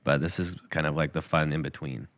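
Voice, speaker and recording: A man in his thirties co-hosting a podcast in casual chat, hoarse and raspy from a cold, easygoing and joking. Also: a bass voice, fairly even intonation, brisk, a bit bright, no echo, studio quality. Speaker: podcast co-host.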